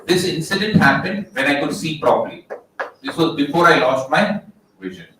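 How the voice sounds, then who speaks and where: A young man with an Indian English accent and a loud voice, telling an audience a story full of jokes and putting questions to them. The mic is some distance away, so his voice rings around a very echoey hall with a faint murmur behind it. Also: medium pitch, a little hiss or hum. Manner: jokey, asks the crowd questions. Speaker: motivational speaker. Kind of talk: storytelling talk to a live audience.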